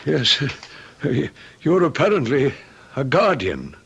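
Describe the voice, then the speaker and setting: An actor playing a mild-mannered, proper manservant in a radio drama, polite, tactful and a little hesitant. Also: male voice, medium pitch, huge pitch swings, takes it slowly, vocal fry, fairly bright tone, husky, heavily acted. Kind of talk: old-time radio drama acting. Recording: dull lo-fi radio drama recording.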